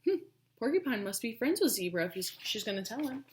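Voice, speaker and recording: A woman teacher reading a children's storybook aloud, expressive and emphatic, pausing to think aloud and ask questions. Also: on the high side.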